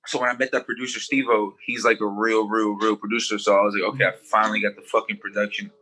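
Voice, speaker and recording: A man speaks in quick, bright, forward bursts, circling back on himself with restarts. His talk is loose and profane, the casual chat of a guest on a podcast. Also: on the low side, flat delivery, rough recording. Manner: loose, rambling, swears freely, many restarts. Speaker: guest musician.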